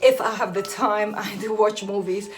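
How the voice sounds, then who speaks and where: A woman's voice: mid-range voice, fairly even intonation, normal speed, soft background music. A young woman from Iraq whose first language is Aramaic, chatting casually to camera as a hair and beauty vlogger, laughing often and restarting her sentences.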